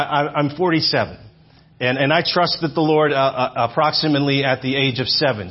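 A man's voice: medium pitch, breathy edge. A man in his fifties preaching to a church audience, talking conversationally and asking the room questions. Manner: conversational, repeats phrases, asks the audience questions. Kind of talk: sermon. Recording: dull lo-fi sermon audio.

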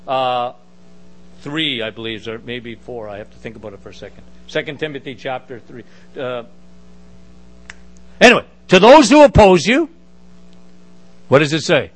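A middle-aged man with a big booming voice preaching a sermon, emphatic with conversational asides. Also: rough recording.

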